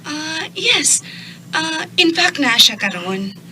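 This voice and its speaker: A woman's voice: high voice, very animated, takes it slowly, background noise, heavily acted. A woman playing a courteous, helpful workplace acquaintance in a radio drama. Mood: polite, helpful.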